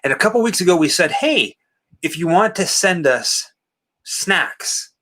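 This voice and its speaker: A man with a booming deep baritone voice, co-hosting a live movie watch party in casual, apologetic chat. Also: sing-song, measured pace, bright, forceful tone. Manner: apologetic, chatty, reads out viewer names and thanks.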